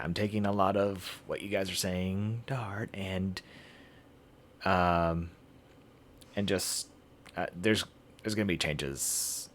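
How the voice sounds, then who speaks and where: A man hosting a game livestream, chatting casually in a halting, rambling way. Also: sits low, fairly bright tone, a little hiss or hum. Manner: halting, rambling delivery with throat clearing. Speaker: game streamer, host.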